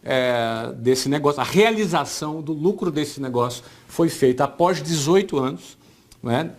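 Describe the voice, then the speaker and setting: A man's voice: mid-range voice, darkish tone. A man in his forties with a Brazilian Portuguese accent, giving an informal business talk as an entrepreneur, conversational and often checking in with his listeners. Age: 40s.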